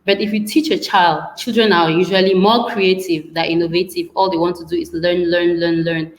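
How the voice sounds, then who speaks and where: A woman from Cameroon, a tech mentor explaining her views at a measured pace with frequent pauses on a video call panel. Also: on the low side, steady tone. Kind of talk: panel discussion.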